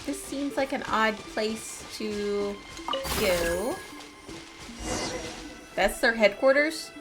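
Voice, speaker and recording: A woman's voice: a little high, expressive, extremely slow, low-quality recording. A lighthearted, enthusiastic woman giving casual gameplay commentary as a streamer. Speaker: game streamer. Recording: game music under the voice.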